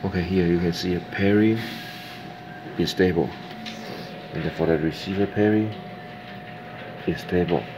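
A man giving a casual, halting product demonstration indoors, repeating himself as he explains. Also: deep voice, steady tone. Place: indoors at a desk with electronics. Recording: clear background room noise.

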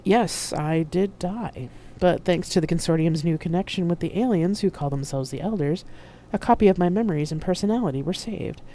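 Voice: mid-range voice, brisk, hardly any pauses, mellow, lightly acted. A narrator as a serene, commanding woman avatar. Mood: assured, measured.

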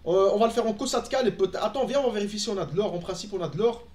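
A young Belgian man who grew up speaking Dutch chats loosely with his stream viewers as he plays, full of fillers and restarts. His voice sits high for a man, and he records at home in an echoey room on a desk mic. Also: very fast, darkish tone. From Belgium, Antwerp. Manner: chatty, answers chat, frequent fillers and restarts. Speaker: gaming streamer. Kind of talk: casual live-stream chat while playing.

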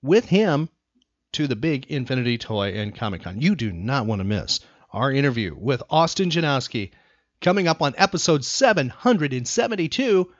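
A man hosting a podcast in casual banter with a co-host, talking in an animated, teasing way and repeating himself for emphasis. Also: medium pitch, moderate pace, no echo, muffled recording.

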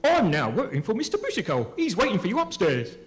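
A man's voice: high voice, huge pitch swings, a little fast. A man putting on a hearty, brash and friendly factory weaver in a comic stage story.

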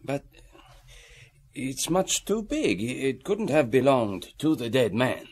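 An actor in a radio drama playing a stolid police inspector with a deliberate, official manner. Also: male voice, mid-range voice, very animated, slightly rough, heavily acted. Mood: matter-of-fact.

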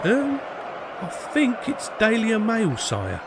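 A man playing a matey, streetwise British commoner with a quiet menace in a comic audio drama. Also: high-pitched, huge pitch swings, takes it slowly, full character voice. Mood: wry. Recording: crowd and noise behind.

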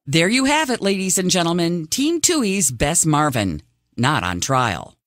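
A woman's voice: fairly low pitch, big pitch swings, a little slow, dry recording, clean studio sound. An actress voicing a condescending, probing female interviewer in a video game, formal and cutting. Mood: cool, needling.

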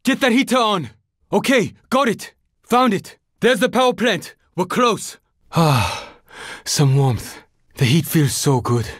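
A young survivor in a zombie shooter game. His voice sits high for a male and swings widely in pitch. The delivery is terse, earnest and determined, recorded very dry. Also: expressive, slow, fairly bright tone, no room sound, full character voice. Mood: guarded, low-key. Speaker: video game character. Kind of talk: video game voice lines, dramatic combat barks.

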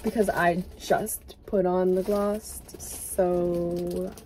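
Slow, mellow-voiced young woman vlogging. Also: lowish voice, a little hiss or hum. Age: young adult. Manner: relaxed, filler-heavy, trailing off. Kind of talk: casual vlog chat. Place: inside a parked car.

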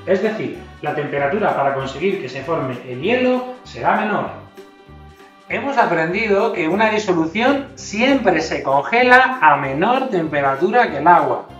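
Animated middle-aged man, Spain Spanish accent. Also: on the high side, normal speed. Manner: instructional, addressing children. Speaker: teacher. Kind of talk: presenting a children's science experiment. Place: home kitchen, indoors. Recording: roomy, distant, dull kitchen audio. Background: background music.

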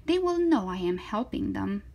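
A woman's voice: medium pitch, sing-song, moderate pace, creaky, dark tone. A woman voicing a confident, defiant little girl from a children's storybook, emphatic and eager. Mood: proud, confident.

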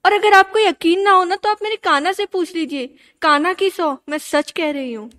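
A woman playing an earnest, gentle young princess steadfast in her devotion, in a radio drama. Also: extremely high pitch, normal speed. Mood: earnest, pleading. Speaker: actor in a student radio drama. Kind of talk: radio drama acting in Hindi.

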